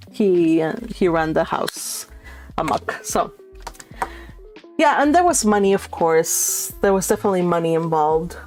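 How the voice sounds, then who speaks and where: A woman hosts a read-along with music playing behind her. She has an informal, chatty way of talking and a mid-range pitch, moving between loose commentary and reading classic prose aloud. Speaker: host of a book read-along. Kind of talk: casual live-stream chat and reading aloud of a classic novel.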